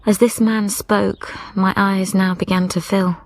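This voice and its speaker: An actress playing a grieving young widow, narrating a radio drama in a measured, lyrical, introspective way. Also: female voice, mid-range voice, level tone, lightly acted. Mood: sorrowful. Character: young widowed woman, grieving, reflective and solitary. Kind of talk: scripted first-person radio drama narration. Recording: music behind the narration.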